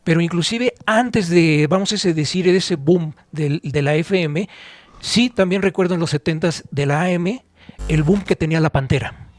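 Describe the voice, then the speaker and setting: A middle-aged man with a Mexican accent co-hosting a podcast, reminiscing conversationally in a nostalgic, digressive way with halting phrases. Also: on the high side, no echo. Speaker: podcast co-host.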